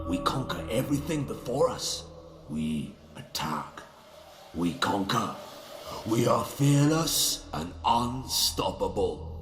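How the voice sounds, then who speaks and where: An actor playing a boastful, ruthless Mongol warlord in a video game cutscene, declaiming in a grandiose, deliberate way. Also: male voice, mid-range voice. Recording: roomy echo over music.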